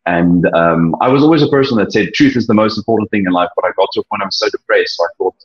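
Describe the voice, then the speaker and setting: A young South African man whose first language is Afrikaans, sharing his story earnestly, with frequent halting restarts. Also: on the low side, slightly rough. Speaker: interview guest. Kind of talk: personal testimony, reflective. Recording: dull lo-fi sound.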